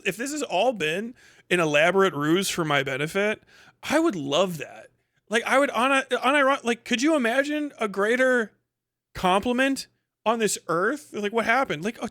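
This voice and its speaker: A man's voice: high-pitched, sharp tone, no echo, studio quality. A man hosting a casual livestream, commenting and reading posts aloud in a slangy, sweary, mocking way. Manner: often breaks into laughter. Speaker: livestream host.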